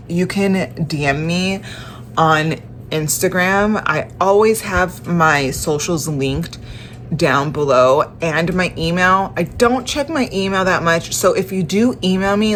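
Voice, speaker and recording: A young woman speaks slowly in a low voice, her nose stuffy and congested. She talks in a halting, tired way, often restarting her sentences, as in a casual personal vlog. A faint room ambience sits behind her. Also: a little hiss or hum. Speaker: vlogger.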